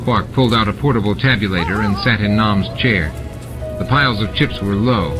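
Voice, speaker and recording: Low-voiced male audiobook narrator over music. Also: normal speed. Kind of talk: scripted dramatic novel narration. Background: music and sound effects added to the reading.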